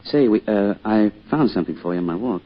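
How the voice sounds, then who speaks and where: Very deep-voiced subdued middle-aged father. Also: animated, fast talker, breathy edge. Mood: subdued, hesitant. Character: grieving father and small-town shopkeeper, subdued, kindly. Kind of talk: old-time radio drama acting. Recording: muffled old radio recording, music behind.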